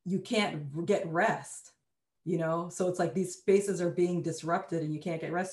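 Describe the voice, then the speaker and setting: A woman's voice: sits low, darkish tone. A woman from Canada speaking as a panelist, conversational and frequently using "you know". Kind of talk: panel discussion. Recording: echoey room.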